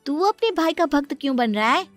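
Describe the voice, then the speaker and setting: Woman acting as scornful young stepmother, sharp scolding, raised pitch, cartoon story, music behind. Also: animated, fairly quick, no room sound. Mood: angry scolding.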